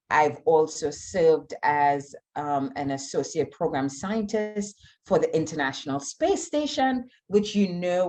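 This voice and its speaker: Slow, low-voiced woman from Trinidad and Tobago. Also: darkish tone. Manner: measured, earnest, with frequent fillers. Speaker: aerospace engineer speaker. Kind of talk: online talk answering audience questions.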